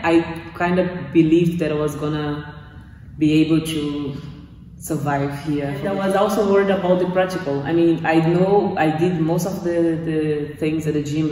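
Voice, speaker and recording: A woman's voice: sits low, dark tone, far from the mic, a little hiss or hum. A young woman from Brazil with a Brazilian Portuguese accent, speaking English as a second language, giving a casual testimonial and often restarting her sentences as she searches for words. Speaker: course graduate giving a testimonial. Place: small gym room, indoors, in front of weight racks. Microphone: far camera. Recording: echoey room from off-mic.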